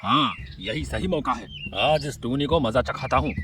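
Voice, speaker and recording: A man's voice: medium pitch, moderate pace, close to an everyday voice. A man voicing a rude, haughty and spiteful male crow shopkeeper, a bullying villain in a children's cartoon story. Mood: scheming.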